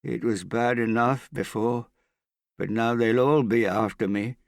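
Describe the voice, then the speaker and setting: A man's voice: mid-range voice, steady tone, a little slow, vocal fry, dry recording, studio quality, voice pushed up. A man voicing a paranoid, frightened, hard-drinking man in hiding, agitated and pleading, in an audiobook. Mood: panicked. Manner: agitated, suspicious, pleading, prone to shouting. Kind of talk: audiobook character acting.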